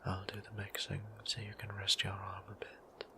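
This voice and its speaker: A man playing a gentle, courteous vampire priest in an intimate audio roleplay, speaking in a breathy whisper with formal, old-fashioned phrasing. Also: monotone, normal speed, a little hiss or hum, exaggerated voice. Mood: tender, courteous. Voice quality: breathy whisper with creaky low tone.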